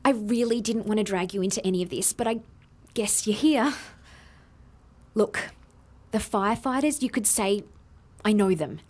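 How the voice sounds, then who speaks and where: An Australian actress playing a guilty, defensive inventor who earnestly explains herself in an audio drama. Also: female voice, medium pitch, moderate pace, long pauses, close to an everyday voice. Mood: apologetic.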